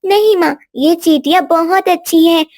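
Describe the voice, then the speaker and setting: Woman as a very high-pitched innocent little princess. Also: normal speed, darkish tone. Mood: innocent, earnest. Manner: childlike, earnest. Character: little princess, innocent, gentle, fond of ants.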